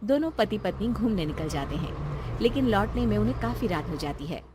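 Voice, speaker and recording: A woman's voice: mid-range voice, big pitch swings, a little fast, background noise. A woman narrating a scripted moral story as the storyteller.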